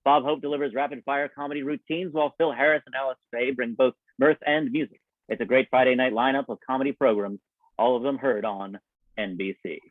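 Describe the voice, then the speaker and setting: A man's voice: medium pitch, fairly even intonation, brisk, dry recording, narrow, tinny audio. A man hosting and announcing a radio show in a wry, theatrical way, over thin band-limited sound. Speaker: host and announcer. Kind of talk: scripted radio-show introduction with ad-libs.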